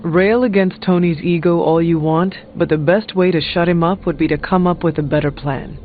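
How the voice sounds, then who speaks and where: A man playing a calm, candid counselor and loyal friend in a video game, speaking formally and earnestly in measured phrases. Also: fairly high pitch, phone-quality sound, slight background noise, natural voice. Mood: earnest, advising.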